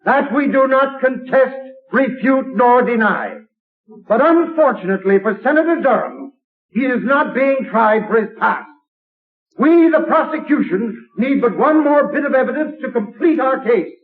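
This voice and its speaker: A prosecutor orating to a jury in a very high, ringing voice. His speech is declamatory and formal. The sound is a tinny, narrow old radio broadcast. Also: animated, moderate pace. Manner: declamatory courtroom oratory. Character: forceful male prosecutor in court. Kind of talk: 1930s radio drama acting.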